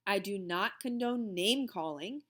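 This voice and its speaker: A woman voicing a stern but kind male schoolteacher who speaks in a firm, measured way. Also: mid-range voice, animated, fairly bright tone, natural voice.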